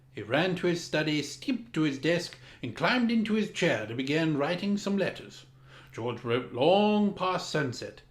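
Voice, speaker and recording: A man narrates a children's story with grand, pompous formality. His voice sits a little high and swings widely in pitch for dramatic emphasis. The recording is roomy, with clear echo around the voice. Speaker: storyteller, host of a story time. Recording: roomy, echoing room sound.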